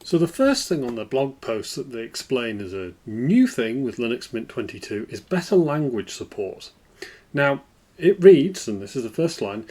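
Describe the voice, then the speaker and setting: A man hosting a screen-recorded software walkthrough, explaining in a relaxed way. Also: mid-range voice, breathy edge. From United Kingdom. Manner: relaxed explanatory, lively intonation. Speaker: tech video host.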